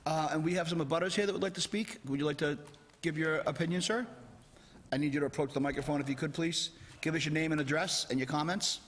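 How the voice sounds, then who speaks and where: A man chairs a town zoning board hearing. His manner is polite and procedural, and he directs people to the microphone. His voice is slightly high and carries the long reverb of the meeting hall. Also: steady tone, fast, a bit bright, a little hiss or hum. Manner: polite, procedural, asks questions.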